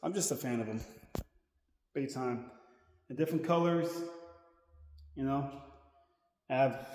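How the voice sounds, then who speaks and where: A man in his thirties talks casually to a camera held at arm's length, wandering through a large indoor gym. His voice sits at a middling pitch, and he rambles, often restarting phrases. The room is roomy and echoey, with a long reverb trailing each line. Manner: rambling, repeats himself while walking around. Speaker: fitness vlogger. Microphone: handheld camera at arm's length.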